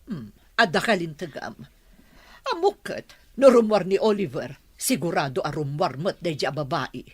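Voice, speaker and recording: A woman's voice: low-pitched, animated, normal speed, slightly rough, no room sound. An actress playing a wealthy, shrewd mother protective of her grown son, in a Filipino radio drama with a Filipino accent. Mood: scheming.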